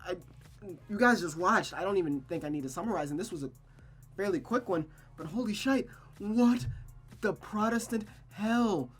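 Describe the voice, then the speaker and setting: Woman streamer reading and commenting, music behind. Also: fairly low pitch. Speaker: streamer reading a visual novel aloud. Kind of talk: story narration and casual game commentary.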